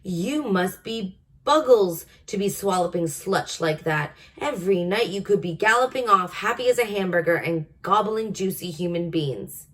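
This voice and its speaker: A woman voicing a greedy, bullying man-eating male giant in a storybook, roaring and shouting insults. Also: medium pitch, expressive, normal speed. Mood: furious, roaring.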